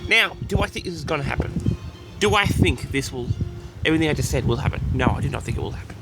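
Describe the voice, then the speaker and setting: A man's voice: fairly high pitch, lively intonation, fast talker, over loud music, low-quality recording. A man delivering a mock-serious, grandiose monologue, repeatedly addressing his audience with self-important certainty. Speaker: host of a comedic audio log.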